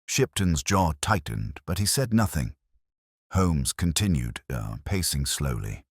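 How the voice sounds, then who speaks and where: A man's voice: a bass voice, big pitch swings, unhurried, husky, no room sound, studio quality. A man narrating a Victorian detective mystery in the scripted style of an audiobook. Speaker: narrator, Dr Watson telling a detective story.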